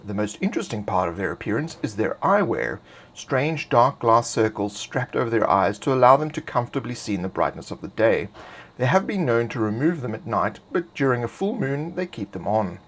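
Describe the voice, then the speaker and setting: A man reading scripted fantasy game lore in a measured, expository way. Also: medium pitch, very animated. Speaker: narrator.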